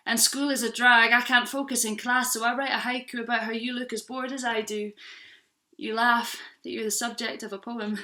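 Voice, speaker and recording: A woman's voice: on the high side, pushed and bright. A woman in her twenties from Scotland performing a spoken word poem with earnest, rhythmic delivery. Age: 20s.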